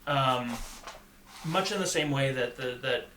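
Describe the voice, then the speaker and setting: In an echoey room with faint noise behind him, a man talks through his ideas as a podcast panelist. He has a mid-range voice and picks his phrases in a halting, measured way. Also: mid-range voice, pushed and bright. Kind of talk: conversational discussion.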